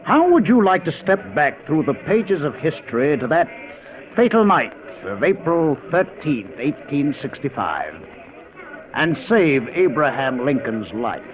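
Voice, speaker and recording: A man's voice: fairly high pitch, big pitch swings, a little slow, crowd noise. An actor playing an eager, confident male professor who explains his inventions theatrically in a 1950s radio drama. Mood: urgent, eager. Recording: phone-like old radio broadcast recording.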